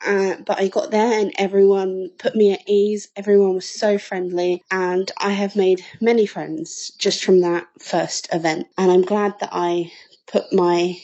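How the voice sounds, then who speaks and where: A woman's voice: medium pitch, level tone, a little slow, warm tone, muffled recording. A woman sharing personal recollections in an interview. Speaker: interviewee, group member.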